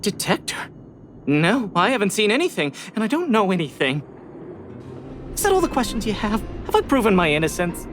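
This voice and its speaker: Anxious, meek suspect for a game: flustered, apologetic, wavering lively intonation, soft music behind. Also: male voice, high voice, brisk. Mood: anxious, pleading. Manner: hesitant, fretful, apologetic. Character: timid, anxious, flustered suspect pleading innocence. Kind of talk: video game voice acting.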